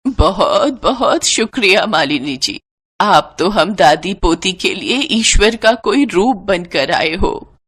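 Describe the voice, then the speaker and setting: A woman voicing a humble, grateful elderly grandmother in a story, speaking slowly. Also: medium pitch, expressive, fairly bright tone, husky, full character voice. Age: older. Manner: slow, humble.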